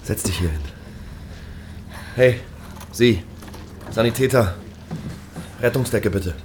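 Very deep-voiced, caring godfather. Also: slow pace. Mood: protective. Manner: short, gentle orders. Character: caring, commanding middle-aged godfather, a colonel. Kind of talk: audio drama acting. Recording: clearly noisy ambient scene.

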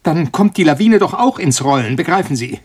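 Radio drama: trapped, panicking researcher; higher-set husky voice, stumbling, agitated, repetitive. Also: male voice, lively intonation, darkish tone. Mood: frantic, demanding. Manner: agitated, halting, repeats himself. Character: cornered, panicking male molecular biologist pleading with his tormentor.

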